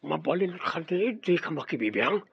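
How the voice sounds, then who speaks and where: A man playing a domineering, short-tempered household boss in an Ilocano radio drama, with a gravelly, husky voice. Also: a little high, sing-song, moderate pace, heavily acted. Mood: irritated, menacing. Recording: dull, close radio drama recording.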